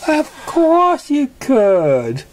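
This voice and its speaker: A bubbly young female cartoon pony, put on by a story reader, pitched high and squeaky. The delivery is emphatic and friendly, swinging widely in pitch with silly, gushing enthusiasm. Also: huge pitch swings, extremely slow, slight background noise, heavily acted. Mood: gleeful.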